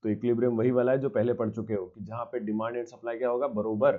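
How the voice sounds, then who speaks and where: A man's voice: mid-range voice, little pitch movement, quick pace, narrow, tinny audio. A male commerce teacher giving a recorded exam-prep lecture, explaining step by step and answering his own questions. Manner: mixes English terms into his speech.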